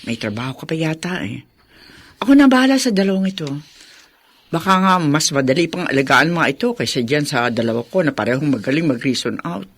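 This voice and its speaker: An actress playing a kind, patient older sister in a Filipino radio drama, speaking reassuringly. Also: female voice, deep voice, animated, a little fast. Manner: reassuring, gently philosophical.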